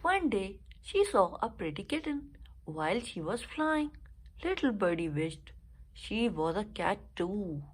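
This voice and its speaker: A woman reading a children's story aloud with expressive, deliberate phrasing. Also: medium pitch, husky, rough recording.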